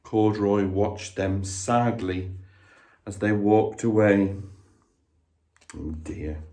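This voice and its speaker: A man reading a children's story aloud like a teacher, dramatic and expressive, drawing his young listeners in. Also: lowish voice, slow pace. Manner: dramatic, inviting listeners to join in.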